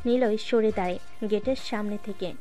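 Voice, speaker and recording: A woman narrating a scripted audio story in a steady, dramatic storytelling manner. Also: mid-range voice, a little fast, soft background music.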